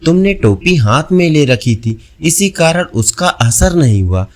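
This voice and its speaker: A man's voice: fairly high pitch, sing-song, unhurried. A man voicing gullible greedy men. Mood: insistent.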